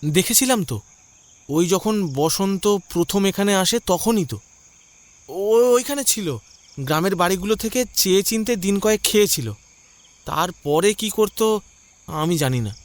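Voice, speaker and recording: Horror audio story: simple village man, high-pitched, nervous, hesitant delivery. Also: animated, unhurried, pauses often. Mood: uneasy, hesitant. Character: uneasy, simple village man recounting what he saw.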